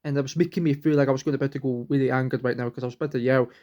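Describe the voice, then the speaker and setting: A man's voice: medium pitch, steady tone, very fast, dark tone. A young man, vlogging at home, rambling earnestly and restarting sentences as he searches for words. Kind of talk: rambling personal vlog.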